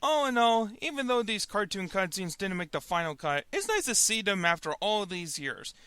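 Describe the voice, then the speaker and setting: A man's voice: high-pitched, big pitch swings, moderate pace, sharp tone, smooth, no room sound. A man hosting a web review show, giving scripted comedic commentary in an emphatic, mock-indignant manner.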